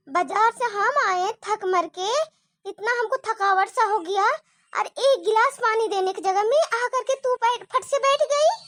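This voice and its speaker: A woman's voice: very high voice, a bit bright. A woman voicing a stern, suspicious older mother-in-law who nags and scolds the household in a homemade family drama skit. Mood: scolding. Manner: scolding, nagging and commanding.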